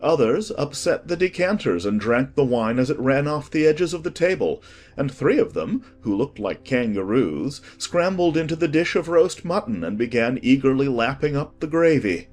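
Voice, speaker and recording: Male narrator, slightly husky, lively intonation, expressive storybook reading, faint music behind. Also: mid-range voice, moderate pace.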